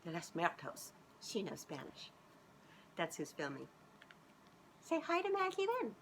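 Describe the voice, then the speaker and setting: Expressive low-voiced woman reading a storybook. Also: unhurried, slight background noise. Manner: expressive, informal, often breaking off to comment. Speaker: children's author reading aloud. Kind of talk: storybook read-aloud with chatty asides to children. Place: living room sofa, indoors. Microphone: camera a short distance away.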